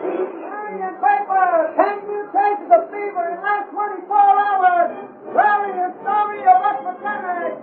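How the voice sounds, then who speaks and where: Piercing old-radio newspaper seller. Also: female voice, extremely high pitch, level tone. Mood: urgent hawking. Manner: drawn-out sing-song hawking cries. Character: shrill street newspaper seller hawking epidemic extras. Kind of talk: 1930s radio acting. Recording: muffled old radio, echo, loud music.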